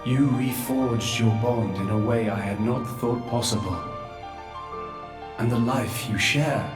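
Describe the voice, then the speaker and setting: An actor playing a weary, ancient creator in a video game cutscene, calm, solemn and remorseful in a measured, philosophical manner. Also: male voice, mid-range voice, recorded from a distance, close to an everyday voice. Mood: resigned, grave. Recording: echoing cavernous hall, music behind.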